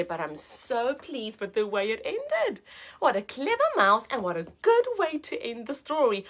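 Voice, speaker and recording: A woman's voice: medium pitch, a little hiss or hum. A woman hosting a live story time, talking to young children in an encouraging, gushing, sing-song way. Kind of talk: live read-aloud and chat addressed to young children.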